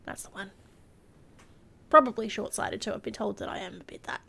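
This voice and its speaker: A woman playing an earnest, confused adventurer in a tabletop game, speaking haltingly and fumbling for words. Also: medium pitch, creaky, bright, forceful tone, slightly rough, full character voice. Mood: sheepish.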